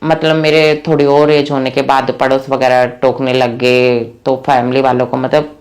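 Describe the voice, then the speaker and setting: A woman's voice: a bass voice, flat delivery, moderate pace, darkish tone. Woman, young adult, earnest, plain-spoken, personal storytelling. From Rohtak, Haryana, India. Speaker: interviewee. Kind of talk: personal life story told to camera.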